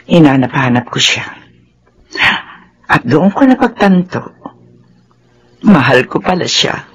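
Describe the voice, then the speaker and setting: Husky, animated middle-aged Filipina mother, played by a man. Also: a little high, exaggerated voice. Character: caring, knowing middle-aged mother of the heroine. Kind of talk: Filipino radio drama acting.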